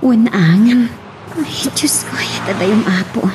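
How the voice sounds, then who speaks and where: A woman's voice: a little high, measured pace, background noise, lightly acted. A woman playing a hardworking, homesick mother working abroad in a radio drama, speaking with an Ilocano accent. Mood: troubled, weary.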